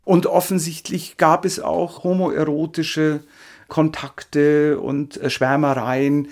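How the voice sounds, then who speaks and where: A man's voice: a little high, little pitch movement, slow, darkish tone. A man explaining as an interviewed expert, speaking off the cuff and searching for his words. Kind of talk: spontaneous explanatory interview talk.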